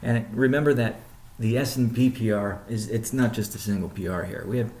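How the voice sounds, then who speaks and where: A man leading an informal business update call as a chief executive, explaining things in a measured way with pauses mid-sentence. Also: on the low side, darkish tone. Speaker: company CEO hosting a weekly update call. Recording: roomy, echoing sound.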